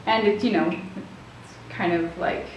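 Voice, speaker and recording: A woman's voice: fairly low pitch, steady tone, slow, far from the mic, dull audio. Rambling woman in an echoey room. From Switzerland. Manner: rambling, searching for words. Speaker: interview guest. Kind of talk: casual chat. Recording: very echoey room with faint noise.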